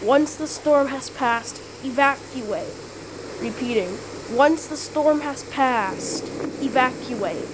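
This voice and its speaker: A woman with a high voice plays an emergency alert announcer in an amateur improvised scenario. Her delivery is halting and repeats itself. The home recording carries clear noise.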